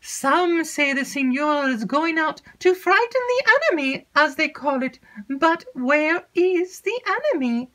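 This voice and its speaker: A woman voicing an excitable, easily frightened young maid who rambles and pleads in a breathless, tearful way. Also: high voice, pitched above the performer's own voice, low-quality recording.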